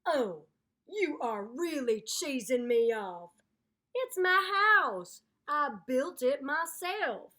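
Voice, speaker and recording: A woman voicing an indignant, exasperated male alligator in a children's storybook, complaining loudly and emphatically. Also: high-pitched, sing-song, takes it slowly, exaggerated voice.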